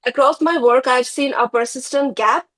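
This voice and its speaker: A woman from Bangladesh giving an earnest conference presentation over a video call, often pausing with fillers. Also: sits high, a bit bright.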